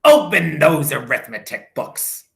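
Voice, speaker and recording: A man's voice: on the high side, big pitch swings, a little slow, creaky, fairly bright tone, heavily acted. A man voicing a wicked, strict woman substitute teacher who snaps and hisses her commands in a storybook reading. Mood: snappish. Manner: snapping, hissing, commanding.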